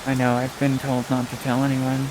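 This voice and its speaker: A man's voice: medium pitch, completely flat delivery, a little fast, natural voice. A man playing a guarded, evasive occultist with a secret in improvised roleplay, speaking tersely. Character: guarded male occultist hiding a dangerous secret. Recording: clearly hissy live stream microphone.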